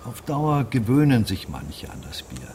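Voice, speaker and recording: An actor playing a defiant, resentful village official in a German radio play, speaking bluntly and with foreboding. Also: male voice, medium pitch, sing-song, a little slow, darkish tone, slight background noise. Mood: ominous warning.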